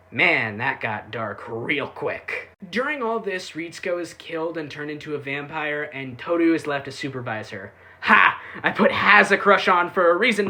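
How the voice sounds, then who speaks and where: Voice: medium pitch, pushed and bright. A reviewer giving a casual, sarcastic recap with irreverent, sweary delivery. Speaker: YouTube anime reviewer.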